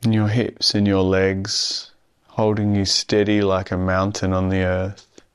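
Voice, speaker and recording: A man leading a guided meditation in a calm, soothing, measured way with long pauses. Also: sits low, clear, resonant tone.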